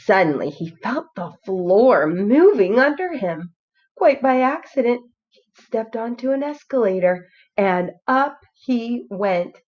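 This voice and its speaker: A woman reads a picture book to young children at an unhurried pace. She is expressive, like a teacher addressing a class. The sound is slightly dull and lo-fi. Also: fairly high pitch. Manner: expressive, pauses to ask listeners questions. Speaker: kindergarten teacher. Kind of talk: children's storybook read-aloud.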